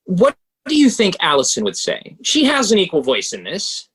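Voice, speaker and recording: A man playing a pragmatic, smooth-talking banker who coolly advises and persuades, in a table read of a stage musical. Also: sits high, wildly swooping pitch, moderate pace, fairly bright tone, heavily acted, poor audio. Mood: persuasive, pressing.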